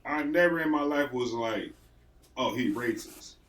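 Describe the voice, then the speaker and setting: A man's voice: mid-range voice, expressive, unhurried, a bit bright. Laughing, slangy male podcast co-host. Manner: laid-back, laughs often, slangy. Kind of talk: casual chat.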